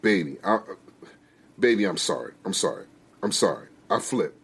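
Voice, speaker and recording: A man's voice: low-pitched, lively intonation, moderate pace, fairly bright tone, husky, exaggerated voice. A man voicing a hot-headed, indignant husband and father who speaks emphatically and with exasperation. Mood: apologetic, defensive.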